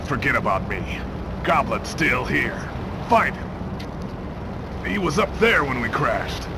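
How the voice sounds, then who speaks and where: A man playing a gruff, unflappable military commander in a video game, curt and commanding. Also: medium pitch, big pitch swings, takes it slowly, background noise, full character voice. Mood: stern. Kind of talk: video game cutscene acting. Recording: loud music over the voice.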